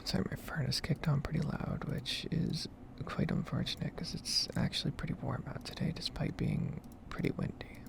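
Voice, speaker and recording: Female ASMR gamer, mid-pitched for a woman, heavy vocal fry, half-whispered, soft casual rambling. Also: fairly even intonation, moderate pace, few pauses. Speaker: gaming video creator.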